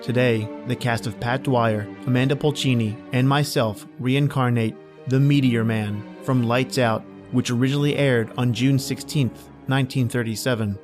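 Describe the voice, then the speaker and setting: A man's voice: medium pitch, steady tone, moderate pace, hardly any pauses. Man hosting over prominent music. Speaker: podcast host. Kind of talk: scripted spooky horror podcast introduction.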